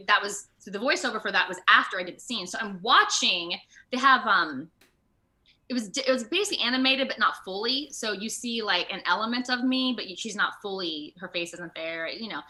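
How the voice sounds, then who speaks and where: An American woman chatting casually as an interview guest, telling stories in an animated way. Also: mid-range voice, fairly quick. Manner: animated storytelling, laughs often, acts out moments. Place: remote video call.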